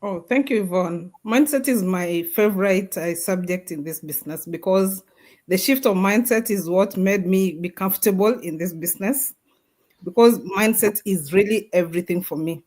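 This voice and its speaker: A woman's voice: medium pitch, moderate pace, darkish tone. A woman guest on a video call, speaking earnestly and emphatically, like giving testimony. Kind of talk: motivational testimony.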